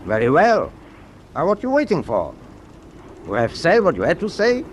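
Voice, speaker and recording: An actor playing an imperious German wartime sea captain in a radio drama, curt, commanding and impatient. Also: male voice, a little high, wildly swooping pitch, normal speed, a little hiss or hum. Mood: impatient, cutting.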